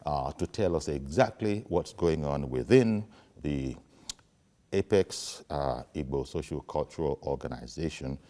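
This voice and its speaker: A man's voice: very deep voice, a little slow, mellow, in a large hall. A man hosting a morning news show, asking probing, polished questions in a studio. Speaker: TV news host.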